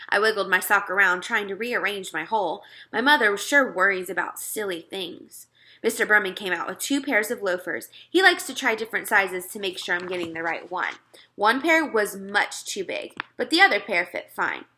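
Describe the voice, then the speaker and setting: A woman narrating a children's story as an expressive read-aloud. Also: medium pitch, normal speed.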